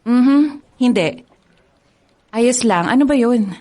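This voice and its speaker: A sweet, studious young college woman in a radio drama. She talks shyly and eagerly, with a slightly high voice and an easy giggle. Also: slow, dark tone. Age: young adult. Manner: shy, eager to help, giggly.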